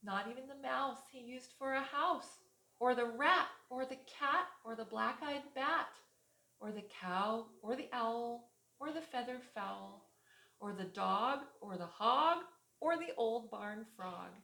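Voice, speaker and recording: A woman preschool teacher reading a storybook aloud to young children in a rhythmic, expressive way. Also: a little high, a little slow, gravelly. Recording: echoey room.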